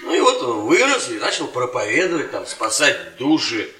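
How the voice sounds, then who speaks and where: Bright, animated younger academic man. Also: medium pitch, normal speed. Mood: boastful, rambling. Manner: insistent, correcting, repeats himself. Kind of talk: radio theatre stage acting. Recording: echoey room.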